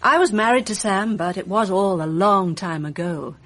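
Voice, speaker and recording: A woman plays a poised film actress in a radio mystery, guarded and politely arch. Her voice sits at a middle pitch with a slightly husky edge. She sidesteps questions with cool courtesy. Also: moderate pace, a bit bright, natural voice. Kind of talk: radio mystery drama acting.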